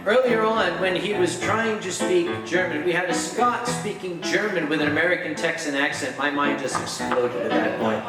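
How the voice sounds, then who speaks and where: Playful stage host with a high voice, captured from far off in a booming hall. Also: male voice, moderate pace, few pauses, over loud music. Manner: theatrical, playful, crude jokes. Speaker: convention show host. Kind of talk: comic stage banter. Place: large convention hall stage. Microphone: stage microphone.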